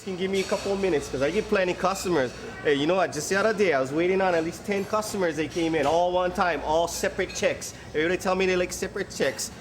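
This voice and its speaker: A man's voice: high voice, quick pace, few pauses, lightly acted. A man playing a flustered, chatty, unprofessional waiter in a training film, rambling and complaining informally with a Hawaiian Pidgin accent, on noisy location sound. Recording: noisy location sound with clear background noise.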